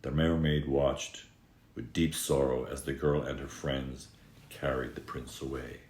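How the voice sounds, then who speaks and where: A male storyteller with an extremely low voice reads a fairy tale aloud. His delivery is dramatic and expressive, stretching words for emphasis, and the room adds a clear echo. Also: measured pace. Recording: echoey room.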